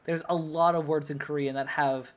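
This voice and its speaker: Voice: medium pitch, fairly even intonation, moderate pace. A young adult streamer from the United States chatting casually at a desk mic, rambling with lots of fillers and laughing easily. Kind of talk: casual livestream chat.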